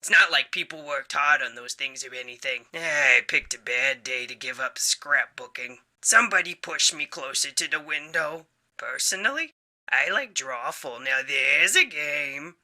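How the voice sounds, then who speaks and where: A jaded talking office appliance in a comedy video game. The voice is fairly high for a male and extremely bright and edgy, with grudging, deadpan announcements. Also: moderate pace, heavily acted. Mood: deadpan, weary. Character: jaded, grumpy male talking office machine, bored employee.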